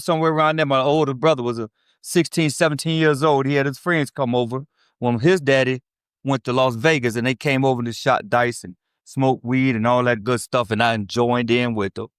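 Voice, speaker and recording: This is a man in his fifties with a Chicago accent, telling a meeting his story of recovery. He talks blunt and streetwise, swears freely and keeps asking "right?" His voice sits at an ordinary pitch and sounds dry, with no room echo. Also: smooth. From South Side of Chicago. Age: 50s. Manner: blunt, streetwise and profane, with frequent "right?" asides. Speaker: recovery speaker. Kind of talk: personal recovery testimony to a meeting.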